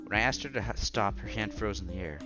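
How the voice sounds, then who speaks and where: A man reading story narration aloud with expressive, theatrical reactions as he hosts a visual novel playthrough. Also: on the low side, fast talker, dull audio, over music.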